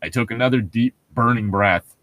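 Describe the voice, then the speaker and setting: A man's voice: mid-range voice, normal speed, fairly bright tone, no echo. A man co-hosting a casual book-reading podcast, chatting in a rambling way and reading prose aloud, with casual swearing. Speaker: podcast co-host reading a novel aloud with commentary.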